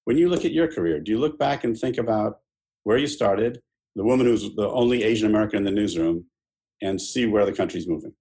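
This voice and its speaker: A man conducting an interview, asking calm, prompting questions. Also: lowish voice, fairly quick.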